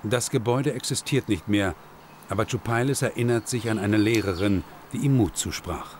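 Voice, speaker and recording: Ein Mann, der ruhig und gemessen einen Dokumentarfilm aus dem Off erzählt. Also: male voice, fairly low pitch, moderate pace, slight background noise. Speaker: documentary narrator. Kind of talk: scripted documentary narration.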